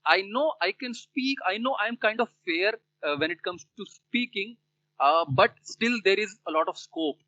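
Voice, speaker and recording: A man's voice: high-pitched, expressive, moderate pace, sharp tone, dry recording, dull audio. A man with an Indian English accent, a native Hindi speaker, chatting thoughtfully in conversation practice with many fillers and restarts. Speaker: learner.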